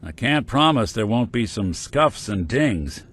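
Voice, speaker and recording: An actor voicing a weary, wry, good-hearted middle-aged robot private detective in a video game, with an American accent and deadpan delivery. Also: male voice, medium pitch, measured pace, breathy edge, exaggerated voice. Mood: sincere, musing. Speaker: companion character in a video game.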